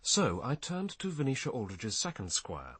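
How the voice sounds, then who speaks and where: An actor playing a courteous police detective, measured and quietly probing, in a radio crime drama. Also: male voice, mid-range voice, huge pitch swings, slightly rough, heavily acted.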